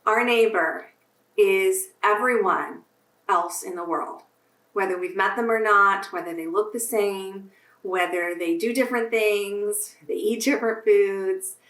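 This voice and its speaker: A woman reading a children's story aloud expressively, with deliberate phrasing meant for young listeners. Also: medium pitch, a bit bright. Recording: roomy echo, distant microphone.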